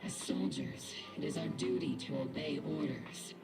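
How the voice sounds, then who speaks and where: A woman voicing a stern, dutiful, weary but caring female army captain in a video game, with a low husky voice. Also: expressive, normal speed. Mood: measured, resigned. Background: game music.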